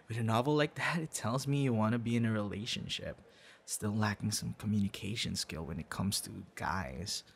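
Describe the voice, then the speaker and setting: A man's voice: on the low side, fairly bright tone, slightly rough, full character voice. A man acting as a friendly, teasing and supportive young would-be boyfriend in an ASMR audio roleplay, speaking conversationally and reassuringly.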